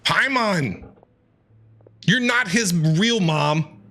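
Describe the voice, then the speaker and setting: A man's voice: sits high, lively intonation, drawn-out words, pushed and bright. A man doing live reaction commentary on a game, talking back to the characters in a playfully dramatic way. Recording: game music behind.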